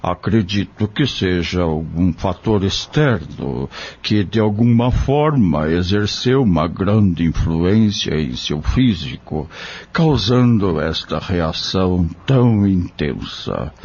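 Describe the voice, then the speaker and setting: Homem interpretando médico brasileiro bondoso, voz bem grave. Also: male voice, low-pitched, lively intonation, breathy edge, exaggerated voice. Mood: grave, explanatory. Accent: Brazilian. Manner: slow, explanatory, gentle counsel. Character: kindly male doctor, patient, caring spiritist. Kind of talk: audio drama acting. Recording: dull lo-fi audio.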